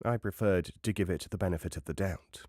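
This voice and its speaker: Guy reading an old travel memoir, fairly deep voice, formal and wry, very dry recording. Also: fairly quick, darkish tone, dry recording. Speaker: audiobook narrator. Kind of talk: scripted narration of a travel memoir.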